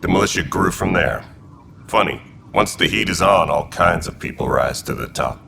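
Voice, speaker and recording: A deep, gravelly militia commander in a sci-fi game, blunt and steady, over game noise. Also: male voice, normal speed, full character voice. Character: male Turian militia commander, old military family.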